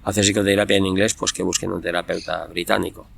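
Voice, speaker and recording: A man's voice: deep voice, level tone, fast, gravelly, a bit bright, slight background noise. A middle-aged man with a Peninsular Spanish accent, explaining things informally and reflectively as a psychotherapist, often checking in with short tag questions. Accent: Spanish from Spain. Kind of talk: informal explanatory monologue for online video.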